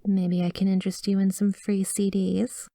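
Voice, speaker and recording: A woman's voice: mid-range voice, flat delivery, normal speed, creaky, warm tone, no echo, clean studio sound, natural voice. A woman playing a breezy, cutesy female doctor with an obsessive, coaxing streak in an ASMR roleplay. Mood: smitten, possessive. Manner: breezy, cutesy, coaxing, blithely delivering grim news. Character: chirpy, awkward female doctor, secretly an obsessive yandere stalker. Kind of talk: ASMR roleplay acting addressed to the listener. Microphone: close studio mic.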